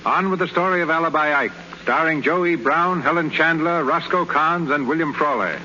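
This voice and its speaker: A man's voice: fairly high pitch, normal speed, highs cut off. A man narrating a radio drama with formal, polished announcing. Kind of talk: scripted narration. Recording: 1930s radio broadcast over loud music.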